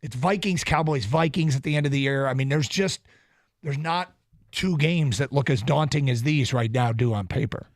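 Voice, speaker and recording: A man hosting sports radio in casual chat, animated and quick to laugh. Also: medium pitch, dry recording, clean studio sound. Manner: animated, restarts often, laughs. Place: radio studio.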